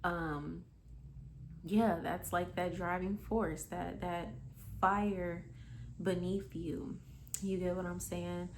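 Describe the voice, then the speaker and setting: A woman's voice: on the low side, level tone, slow, a little hiss or hum, poor audio. A woman in her twenties giving a casual motivational monologue, candid and emphatic, swearing freely and calling the listener honey. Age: 20s. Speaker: spiritual vlogger.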